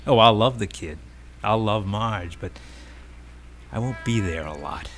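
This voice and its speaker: A man's voice: lowish voice, a little slow. An American actor in a radio drama playing a brash, restless army buddy, a self-assured ladies' man who speaks in emphatic, colloquial phrases. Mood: matter-of-fact, firm. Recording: noisy old radio drama recording.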